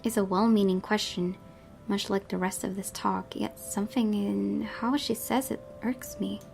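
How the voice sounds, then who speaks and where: Woman narrating a game, slightly creaky. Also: medium pitch, fairly even intonation, moderate pace, darkish tone, soft background music. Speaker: gaming video host reading a visual novel. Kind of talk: expressive story narration with casual let's play asides.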